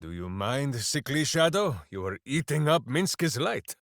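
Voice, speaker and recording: Bombastic, big-hearted warrior, wildly animated. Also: male voice, medium pitch, unhurried, no room sound, studio quality. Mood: hearty, earnest. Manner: bombastic, theatrical, speaks of himself in third person. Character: hearty, simple-minded, boastful heroic berserker warrior devoted to his hamster. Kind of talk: video game character voice lines.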